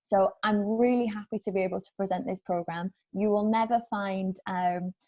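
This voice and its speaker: A woman's voice: mid-range voice, fairly even intonation, moderate pace, darkish tone, muffled recording. A British woman, an admissions manager, presenting in an upbeat way during an online information session over a video call. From England.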